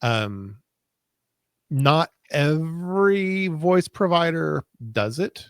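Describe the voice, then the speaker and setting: A man's voice: fairly high pitch, animated, drawn-out words, smooth, no echo, studio quality. An American man in a casual tech chat as a co-host, informal and wryly grumbling, with folksy wording. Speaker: podcast co-host.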